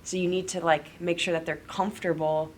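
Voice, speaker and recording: A woman fitness coach talks earnestly and encouragingly. Her voice sits a bit low. Faint background noise is present. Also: little pitch movement, fairly quick. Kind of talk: roundtable chat.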